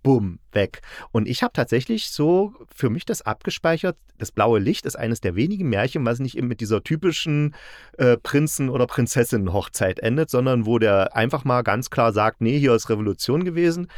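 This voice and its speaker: A man's voice: medium pitch, lively intonation, fairly quick, dry recording, clean studio sound. A man chatting casually as a podcast host, using colloquial, blunt and slangy phrasing. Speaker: podcast host discussing audio dramas. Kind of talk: casual chat.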